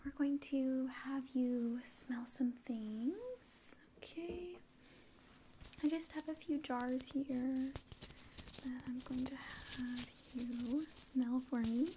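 A young woman whispering softly and breathily in a gentle, hesitant ASMR medical exam roleplay, close to the mic. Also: sits high, slow pace, a little hiss or hum, low-quality recording. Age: young adult. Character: polite, clinical alien examiner. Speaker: ASMR performer. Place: small room indoors.

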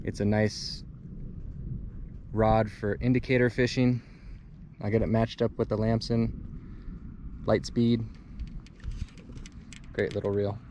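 Relaxed man vlogging outdoors by a lake. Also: on the low side, background noise. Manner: relaxed, upbeat, offhand. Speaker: fishing vlogger. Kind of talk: casual outdoor vlog. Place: outdoors by a lakeside dam. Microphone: action camera.

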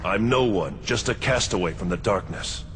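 A man's voice: low-pitched, animated, moderate pace, noisy background. An actor playing a somber, mysterious cloaked man in a video game. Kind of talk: video game cutscene voice acting. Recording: echoing game audio with music.

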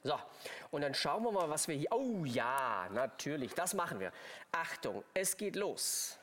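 A man with a slightly husky voice, presenting a live shopping pitch effusively and emphatically, with the odd stumble. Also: very animated, measured pace, fairly bright tone, a little hiss or hum. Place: TV studio.

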